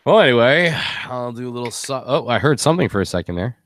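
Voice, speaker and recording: A man's voice: mid-range voice, sing-song, fast talker, fairly bright tone, no room sound, clean studio sound. A man hosting a live stream in a casual, rambling, joking way. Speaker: host.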